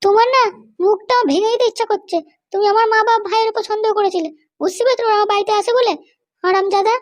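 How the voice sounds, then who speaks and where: A middle-aged Bengali wife with an extremely high, childlike cartoon voice. She talks quickly and bossily, nagging and commanding, always suspicious and scheming. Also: steady tone. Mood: irritated, commanding. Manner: nagging, commanding, keeps demanding to be understood. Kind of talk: animated comedy cartoon dialogue.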